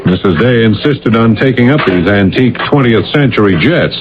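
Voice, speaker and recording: An actor playing a matter-of-fact crash investigator in a radio drama. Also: male voice, on the low side, animated, moderate pace, natural voice. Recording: muffled, noisy old radio broadcast.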